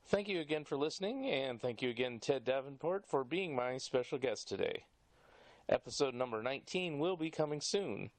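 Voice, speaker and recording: A man's voice: mid-range voice, animated, normal speed. A man hosting a podcast in a casual conversational style, often chuckling as he talks. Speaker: podcast host. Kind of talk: casual interview with sign-off.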